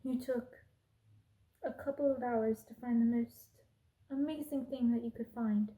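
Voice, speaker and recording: A woman playing a haughty, cruel evil queen in an ASMR roleplay, speaking in an imperious, deliberate, menacing way. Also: on the high side, steady tone, warm tone, close to an everyday voice, low-quality recording. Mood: cold disdain. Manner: imperious, slow and deliberate, drops into menacing whispers.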